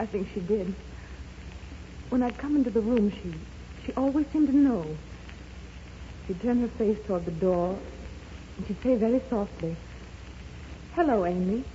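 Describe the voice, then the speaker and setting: A wistful, grieving woman played by an actress in an old radio play. Her voice is mellow and dark, and she speaks in halting, sorrowful phrases. The 1930s recording is dull with a faint layer of noise. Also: medium pitch, lively intonation, lightly acted. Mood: grieving, hushed. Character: wistful, grieving, soft-hearted woman named Amy. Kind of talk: 1930s radio drama acting.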